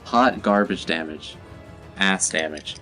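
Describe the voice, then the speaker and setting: A man's voice: sits low, takes it slowly, sharp tone, soft background music. A man narrating a tabletop roleplaying game as game master, in a casual, plain-spoken way.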